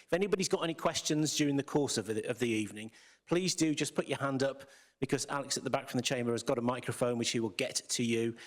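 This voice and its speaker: A man hosts a business debate in a theatre, talking quickly and keeping things moving. His pitch sits in a middle range, and he sounds brisk, organised and friendly as he sets out the rules and introduces each candidate. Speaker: debate host. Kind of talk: hosting a hustings debate. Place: theatre with audience.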